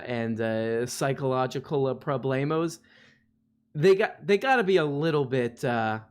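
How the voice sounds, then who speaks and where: A man from Florida hosting a livestream, chatting casually in a rambling, self-mocking way full of fillers and restarts. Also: medium pitch. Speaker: livestream host. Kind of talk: casual chat.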